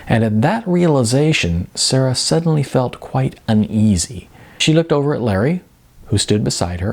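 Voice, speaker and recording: A man's voice: medium pitch, creaky, a little mellow, slightly rough. A man, an author reading from his own novel in an informal, conversational storytelling style.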